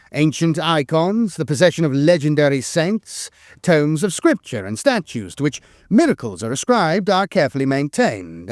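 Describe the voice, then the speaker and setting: A man's voice: on the high side, darkish tone, no room sound, clean studio sound. A man reading scripted lore narration in a solemn, formal manner.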